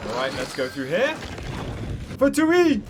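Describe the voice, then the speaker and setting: A man giving excitable gameplay commentary who cheers his character on as he plays. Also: high-pitched, measured pace, background noise. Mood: excited. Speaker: gaming streamer. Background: game music and sounds.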